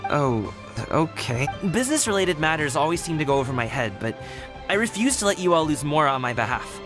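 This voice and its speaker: A man's voice: on the high side, very animated, a little fast. An actor playing an earnest, humble young man in a game, with music underneath. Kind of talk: game voice acting. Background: game background music.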